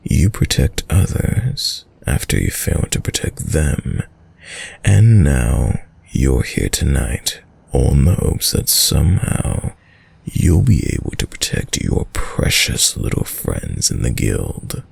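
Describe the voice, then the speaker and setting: Man voicing an extremely deep, gravelly vampire lord. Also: sing-song, a little mellow, full character voice. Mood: condescending, amused. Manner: slow, silky, formal, teasing menace with drawn-out pauses. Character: sadistic, dominant, courtly male vampire lord, mockingly seductive. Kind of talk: intimate audio roleplay addressed to the listener.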